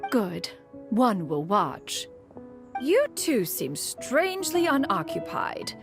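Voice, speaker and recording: A woman's voice: mid-range voice, huge pitch swings, slow, music in the background, heavily acted. A woman voicing a wise older immortal in a video game, formal and pompous, imperious yet fond. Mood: stately, approving.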